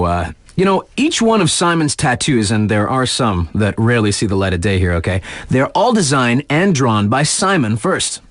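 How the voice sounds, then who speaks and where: A man's voice: mid-range voice, dry recording, clean studio sound, natural voice. A man playing a hip, laid-back radio DJ in a video game, giving casual, slangy sports patter with playful conversational asides. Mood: amused, teasing. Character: hip, laid-back male radio DJ covering snowboard races.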